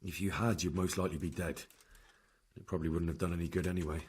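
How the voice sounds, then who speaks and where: A determined young investigator from a medieval video game. His voice sits fairly low with a husky edge. He speaks directly and plainly, probing in a matter-of-fact way. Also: steady tone, fast talker. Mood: sympathetic, reserved. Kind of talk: dramatic game cutscene acting.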